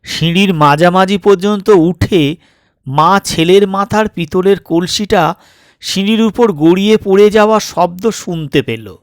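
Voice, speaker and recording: Guy narrating a thriller audiobook, kinda high voice, measured pauses, really dry close sound. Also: no room sound. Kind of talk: dramatic suspense story narration.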